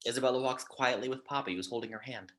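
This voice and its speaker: Low-voiced woman reading stage directions. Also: fast, a bit bright. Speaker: stage-direction reader in a table read. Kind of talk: scripted narration in an amateur table read.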